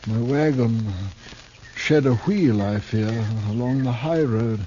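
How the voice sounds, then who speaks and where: A dazed, florid stage-tragedian of a wanderer played by a man, declaiming slowly in a mellow voice. The phrasing is grand and archaic, as in an old radio drama, on a muffled recording. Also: medium pitch, slight background noise, lightly acted. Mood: dazed, weary. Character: dazed, florid Eastern wanderer quoting Shakespeare, theatrical and grand. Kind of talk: 1950s radio drama acting.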